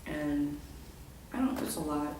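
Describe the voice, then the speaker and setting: A woman sharing personal experience casually as a participant in a lecture, in a hesitant, halting manner. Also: deep voice, expressive. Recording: echoey room, distant mic, clear noise.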